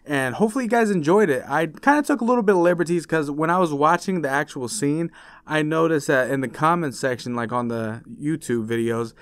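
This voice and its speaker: A man's voice: a little high, brisk, clear, resonant tone, no echo. A young man talking casually to viewers as an online video host. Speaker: YouTuber and amateur voice impressionist. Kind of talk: casual outro chat to viewers.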